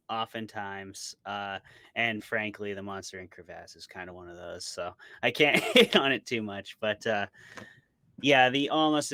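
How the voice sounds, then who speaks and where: A man's voice: lowish voice, sharp tone, clean tone, no echo. A man being interviewed as an author, chatting casually and thoughtfully about his opinions, often qualifying them with asides. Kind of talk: casual chat about horror tropes.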